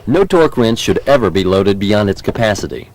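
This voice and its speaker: A low-pitched American man narrates an instructional film. His delivery is measured and formal, explaining each step as written in a script. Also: lively intonation. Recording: 1990s VHS training film soundtrack.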